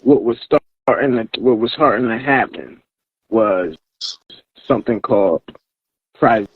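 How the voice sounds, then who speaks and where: A man's voice: on the low side, unhurried, breathy edge, no echo, phone-quality sound. A man in his thirties, hosting a casual podcast chat, repeating words as he builds his point. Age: 30s. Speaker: podcast host.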